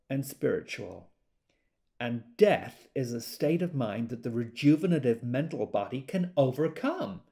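Earnest group devotee, gentle, hesitant, lively intonation, some fry, audio monologue. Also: male voice, mid-range voice. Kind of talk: dramatic monologue in an audio drama.

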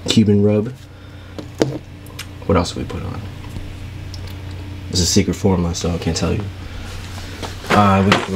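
A man's voice: fairly low pitch, background noise. A young man vlogging a fishing trip in casual, joking chat, filming himself on an action camera outdoors. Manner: joking, laid-back. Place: outdoors on a pier, then indoors.

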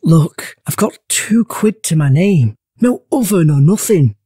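A man acts as a broke, manic young student in an audiobook drama. The voice is fairly high yet mellow, and frazzled. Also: lively intonation, measured pace, breathy edge, no room sound, clean studio sound. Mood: frazzled pleading. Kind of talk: full-cast audiobook character acting.

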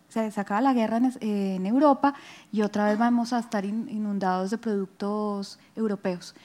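A woman's voice: a little high, warm tone. A woman giving an informal public lecture, explaining and often checking with short tag questions. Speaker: lecturer, music researcher. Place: auditorium stage at a book fair. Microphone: headset.

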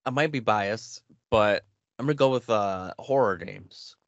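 Lowish-voiced young man, dull dry sound. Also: a little slow, dry recording. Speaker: podcast co-host. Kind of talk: casual chat.

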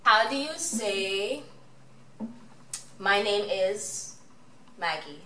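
A young woman hosts a relaxed language chat, her voice bright and full of pitch swings. She talks slowly and playfully. The sound is roomy and picked up from a distance. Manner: playful, repeats phrases slowly. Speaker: host. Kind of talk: casual language-learning chat. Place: bright indoor lobby, seated on a couch. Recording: roomy, distant mic with faint hum.

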